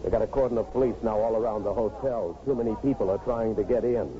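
An actor playing a plain-spoken, modest city cop who narrates in a 1950s radio drama. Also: male voice, fairly low pitch, fast, crowd noise, lightly acted. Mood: tense narrating. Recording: noisy, muffled old radio broadcast.

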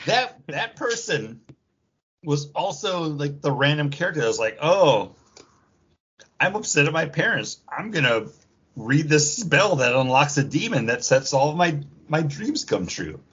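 A man with a fairly high, husky voice chats casually as a podcast co-host. He is teasing and jokey, swinging his pitch as he acts out little imagined lines. The recording is slightly dull. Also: sing-song, unhurried, fairly bright tone. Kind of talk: casual bantering podcast chat.

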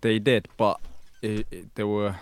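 A man talking through a football match on the radio, hesitant and full of fillers but measured. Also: on the low side, flat delivery, no room sound. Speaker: studio guest pundit. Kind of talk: casual football analysis.

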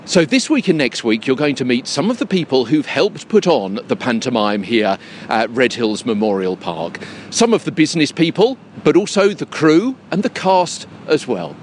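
A man's voice: mid-range voice, big pitch swings, normal speed. Chatty male podcast host, faint noise behind. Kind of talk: on-location podcast presenting and interviewing. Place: on location at a big top tent in a park. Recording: generator hum and outdoor background noise. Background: faint generator and ambient noise.

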